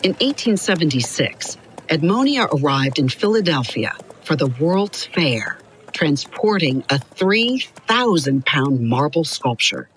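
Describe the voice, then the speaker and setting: A woman reading a scripted story for children in a measured, expressive storyteller's delivery. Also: sits low, slight background noise, low-quality recording. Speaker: podcast narrator. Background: music under the voice.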